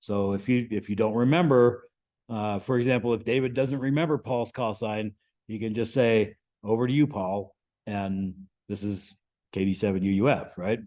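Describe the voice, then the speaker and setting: A man giving an informal, explanatory lesson to a group as the instructor, often checking in with a quick "right?". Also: fairly low pitch, warm tone. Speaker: amateur radio class instructor.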